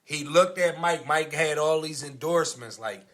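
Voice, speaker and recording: A man's voice: on the high side, moderate pace, fairly bright tone. An American man co-hosting a podcast, debating casually in an insistent, argumentative, slangy way. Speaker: podcast co-host.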